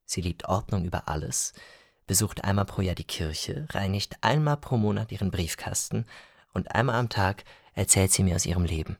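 A man's voice: sits low, animated, moderate pace, gravelly, no echo, clean studio sound. A man narrating a scripted first-person literary story as a storyteller.

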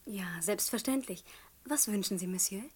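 A woman's voice: medium pitch, moderate pace, breathy edge, close to an everyday voice. An actress playing a polite, obliging shop saleswoman who is easily amused, in a 1960s radio comedy. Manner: courteous, obliging.

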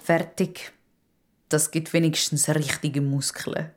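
A man's voice: a little high, flat delivery, slow pace, slight background noise. A man playing a good-natured, helpful uncle in a children's audio drama. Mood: easygoing.

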